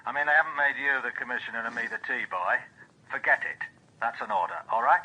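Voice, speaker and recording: An actor playing a bullying senior police officer with a British accent, brusque and commanding, in a radio drama. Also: male voice, medium pitch, animated, fast, bright, forceful tone, poor audio. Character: blunt, bullying male senior police boss.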